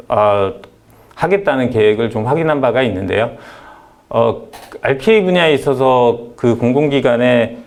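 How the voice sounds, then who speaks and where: Measured man giving a seminar talk. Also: mid-range voice, a little mellow. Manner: measured, frequent "eo" fillers and restarts. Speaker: presenter at a business seminar. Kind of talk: conference presentation.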